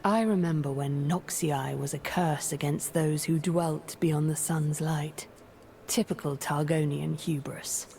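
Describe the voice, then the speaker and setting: Game villainess: ancient regal sorceress, deep dark tone, measured, disdainful, menacing. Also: female voice, steady tone, vocal fry, a little hiss or hum. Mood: cold disdain. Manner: measured, imperious, formal, menacing. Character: cold scheming ancient sorceress, regal manipulator plotting from shadows. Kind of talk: scripted video game character voice lines.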